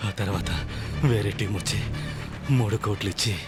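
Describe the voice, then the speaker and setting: Man acting a low-voiced, halting frightened informant. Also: level tone, close to an everyday voice. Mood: tense confession. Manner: halting, broken phrases. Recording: loud film music over the dialogue.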